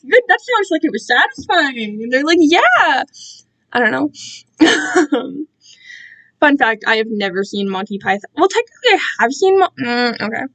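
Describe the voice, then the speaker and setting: High-pitched young woman, rambling chattily. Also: fairly bright tone. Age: young adult. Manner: self-deprecating, digressive, slangy. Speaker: livestreamer. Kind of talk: casual rambling chat to livestream viewers. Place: alone in own room.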